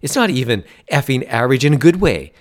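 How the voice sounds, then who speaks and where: A man voicing an excitable, stubborn middle-aged father who talks insistently and grows indignant. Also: fairly low pitch, normal speed. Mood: outburst. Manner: insistent, growing loud and indignant.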